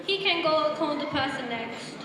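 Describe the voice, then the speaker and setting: Commanding female police officer, played by an amateur actress, high voice, stage play, far from the mic in a ringing hall. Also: lively intonation, normal speed. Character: brisk, commanding woman police officer in charge. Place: large echoey indoor hall, far from the mic. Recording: distant, very echoey hall with audience noise.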